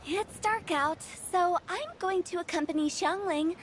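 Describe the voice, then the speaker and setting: A woman's voice: very high voice, measured pace. A woman voicing a playful, mischievous young female host in a video game, speaking with breezy theatrical flair. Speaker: host of the gathering. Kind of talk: video game character acting.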